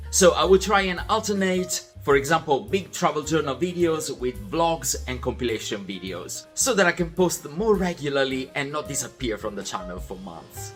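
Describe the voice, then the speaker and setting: Lively man giving creator tips. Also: a little high. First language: Italian. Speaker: vlog host. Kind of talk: scripted voiceover vlog narration.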